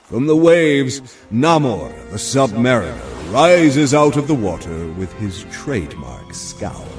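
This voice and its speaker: A male narrator delivers dramatic scripted storytelling. His pace is slow and his pitch sweeps very widely, with music playing clearly beneath the voice. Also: big pitch swings.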